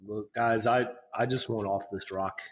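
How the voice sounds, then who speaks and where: A man's voice: lowish voice, fairly even intonation, measured pace, lightly acted. A man playing a weary, self-interested fugitive drifter in a tabletop game, speaking hesitantly. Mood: reluctant, uneasy.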